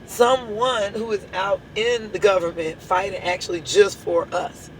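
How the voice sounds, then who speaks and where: A hoarse woman, a church leader giving an impassioned address full of repeated rhetorical questions. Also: medium pitch, steady tone, measured pace, a little hiss or hum, poor audio.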